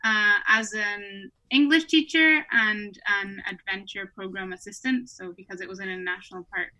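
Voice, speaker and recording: A woman in her twenties from Peru talks as a guest on an online podcast. Her tone is bright and forward. She tells her story in a friendly way and laughs often. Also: mid-range voice, steady tone, normal speed, poor audio. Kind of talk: casual interview storytelling. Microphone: remote online recording.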